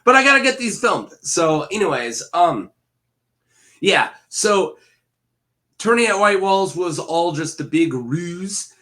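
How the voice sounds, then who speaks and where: A man with a head cold talks through his topic alone, tossing in plenty of fillers and restarts as he goes. His voice sits a touch high and has a bright, forward edge. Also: sing-song, slow pace. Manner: rambling, self-correcting, filler-heavy. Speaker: video host. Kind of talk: casual solo commentary.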